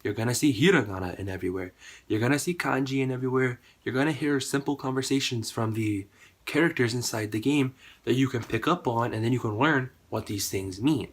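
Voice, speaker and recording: Guy vlogging from home, sick and kinda hoarse, husky, rambles a lot, middle pitch. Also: a little fast. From United States. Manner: rambling, conversational, with many fillers. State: sick, voice partly gone. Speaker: YouTuber. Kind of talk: casual to-camera vlog.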